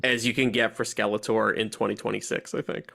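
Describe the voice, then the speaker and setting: A man hosting a movie podcast, chatting casually and giving animated opinions with slang and swearing. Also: lowish voice, brisk, gravelly. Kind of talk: casual chat, film discussion.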